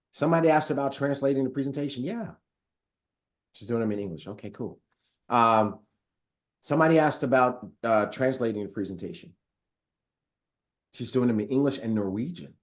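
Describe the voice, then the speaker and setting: A man hosting a live online training call, upbeat and chatty, reading viewer comments aloud with frequent fillers. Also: medium pitch. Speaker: host of a company training call. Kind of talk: casual live webinar hosting.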